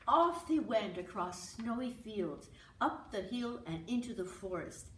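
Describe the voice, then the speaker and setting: A woman reads a children's story aloud in an unhurried, dramatic way with very animated pitch. She sounds a little away from the mic in a roomy space. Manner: expressive, dramatic. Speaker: author reading her picture book. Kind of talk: children's story reading with asides. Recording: roomy, distant mic with hiss.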